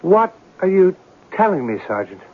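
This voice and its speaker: A temperamental, brooding man of letters in a vintage radio play. His voice is on the high side and very animated, with theatrical insistence. The recording is narrow and tinny. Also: moderate pace. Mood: curious. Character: intense, temperamental, self-tormenting male writer. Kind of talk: old-time radio drama acting. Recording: muffled vintage radio broadcast.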